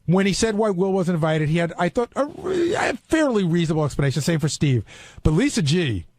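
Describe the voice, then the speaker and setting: A man's voice: high voice, very animated, brisk, darkish tone. A man in casual radio banter who probes with pointed questions and remarks. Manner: probing, pointed. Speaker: radio show regular asking questions.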